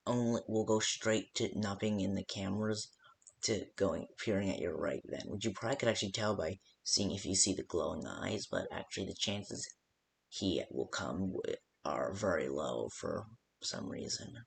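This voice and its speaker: Casting: male actor as rambling night-shift phone guide for a game, low gravelly voice, hesitant and unpolished. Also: normal speed. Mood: casual, hesitant. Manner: hesitant, rambling, full of stops and second thoughts. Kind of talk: improvised-sounding instructional phone call for a game.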